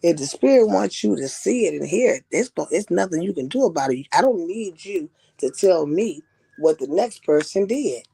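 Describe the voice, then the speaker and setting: A woman's voice: fairly low pitch, expressive, normal speed, gravelly, mellow, slightly rough. A woman hosting a live stream on a headset mic, talking casually and preachily, calling her guests sis and baby. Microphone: headset microphone.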